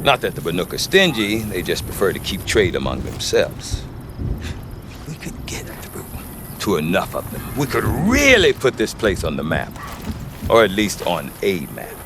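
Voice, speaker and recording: An actor playing a folksy, wry middle-aged trader in a video game, chatty and given to colloquial sales patter and hesitations. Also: male voice, low-pitched, huge pitch swings, moderate pace, noisy background. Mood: affable, awkward. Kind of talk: video game character acting.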